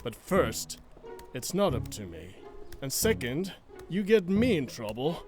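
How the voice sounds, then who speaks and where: An actor playing a wry, teasing military superior officer in an animated comic dub, with a sardonic manner. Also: male voice, a little high, a little slow, faint music behind. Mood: firm, amused. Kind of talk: animated fandub comic voice acting.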